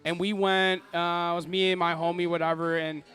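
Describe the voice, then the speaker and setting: A young adult man hosting a podcast, with a loud voice, telling fan stories animatedly and swearing freely in casual chat. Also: high-pitched, level tone, fairly quick, faint music behind. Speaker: podcast host.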